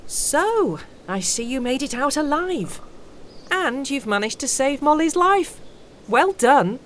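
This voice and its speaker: A woman's voice: sits high, sing-song, takes it slowly, a little hiss or hum, exaggerated voice. A woman playing a dutiful, upbeat lookout guarding an aircraft in an audio play. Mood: pleased.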